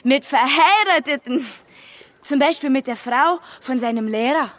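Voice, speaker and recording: A woman's voice: sits high, measured pace. A woman playing a shy, naive teenage butcher's daughter, hesitant and guarded, in a German radio crime drama. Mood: uneasy, confiding. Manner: hesitant, guarded, simple short answers.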